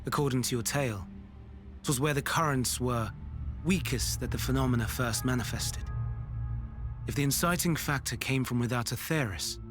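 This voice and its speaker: A man acting as an ancient, learned figure in a fantasy game. He explains things gravely and formally, in measured phrases at a middling pitch. Soft music plays underneath. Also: lightly acted. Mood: grave, thoughtful. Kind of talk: video game cutscene acting.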